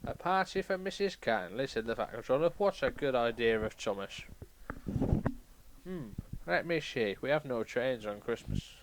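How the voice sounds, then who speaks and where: A man playing a crabby, boastful railway boss who reads a storybook aloud with irreverent, deadpan asides. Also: medium pitch, moderate pace, background noise, close to an everyday voice. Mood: mock-polite, scornful. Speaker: comic storybook reader. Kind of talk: irreverent ad-libbed storybook reading.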